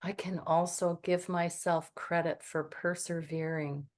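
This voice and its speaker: A woman guiding a meditation, speaking in a calm, gentle and soothing way with long pauses. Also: fairly low pitch. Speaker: meditation guide. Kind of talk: guided meditation.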